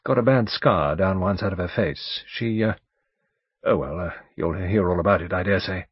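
A man's voice: sits low, moderate pace, breathy edge, no room sound, dull audio. A man voicing a bluff, urbane and overbearing English barrister in an audiobook, breezy, emphatic and chatty. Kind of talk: audiobook character dialogue.

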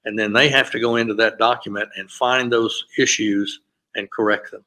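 Lower-voiced older man explaining procedures. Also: moderate pace. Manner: steady, explanatory, with frequent "uh" fillers. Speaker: panelist, graduate school administrator. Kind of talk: conference panel talk over video call. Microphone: video call.

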